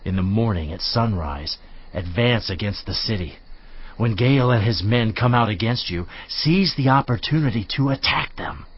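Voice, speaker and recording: A man acts out a scheming governor of an ancient city in a Bible audio drama. The male voice is fairly low and deliberate, with a conspiratorial manner. The sound is a little dull. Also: lively intonation, slight background noise, natural voice. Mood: urgent, plotting. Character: scheming city governor sending secret counsel to his lord. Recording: muffled, band-limited audio.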